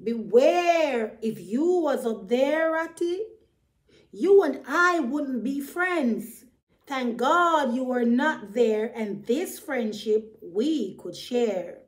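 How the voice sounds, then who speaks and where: Woman voicing a high, frank, caring bird. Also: lively intonation, very slow, exaggerated voice. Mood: scolding. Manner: admonishing, emphatic, encouraging. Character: frank, caring bird friend who gives advice. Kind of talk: children's storybook character voice.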